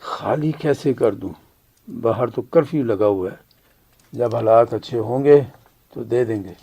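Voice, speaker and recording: Grave, defiant family patriarch. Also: male voice, mid-range voice, measured pace, creaky, mellow, breathy edge. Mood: indignant. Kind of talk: radio drama acting.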